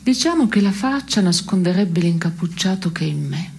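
Dry absurdist stage woman: cynical, laconic deadpan, lowish pitch, faint noise on the broadcast. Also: moderate pace, vocal fry. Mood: dry, sardonic. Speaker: stage actor. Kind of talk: absurdist two-hander theatre dialogue. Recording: noisy radio broadcast of theatre piece.

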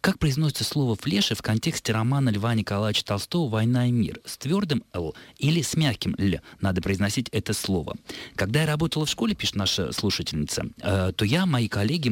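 A man answering listeners' letters about word usage in a radio studio, explaining in a measured way and pausing to think. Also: mid-range voice, big pitch swings, no room sound. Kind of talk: radio talk on Russian usage.

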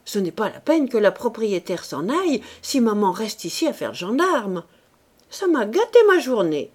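A woman reading an audiobook, voicing a brash, greedy woman who talks in a shrill, loud, giggly way. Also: big pitch swings, normal speed, breathy edge. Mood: gloating.

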